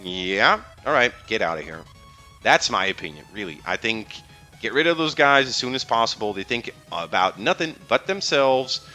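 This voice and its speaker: A man's voice: medium pitch, animated, moderate pace, sharp tone, soft background music. A man speaking English, giving casual, rambling game advice on a live stream with many fillers and restarts. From Netherlands. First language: Dutch.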